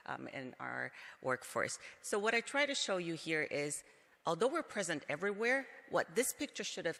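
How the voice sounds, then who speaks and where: A company executive, a man who grew up in Brazil, speaks at a conference in a measured, explanatory way, often pausing on "um." His voice is bright and a little higher than most men's. The hall around him leaves a long reverb on every word. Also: creaky. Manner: measured, explanatory, frequent um fillers. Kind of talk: conference presentation.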